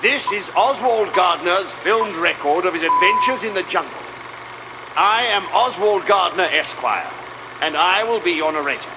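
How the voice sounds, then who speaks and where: An actor playing a pompous British gentleman explorer, theatrically narrating his jungle film like an old-fashioned documentary. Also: high voice, lively intonation, normal speed. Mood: pompous, self-important. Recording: noisy old film reel with projector hum.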